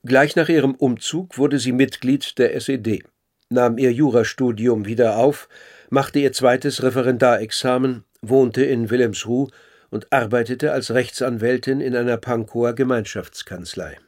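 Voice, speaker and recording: A man narrating a documentary radio play from a script in a measured, sober, articulate way. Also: mid-range voice, fairly even intonation, a little mellow.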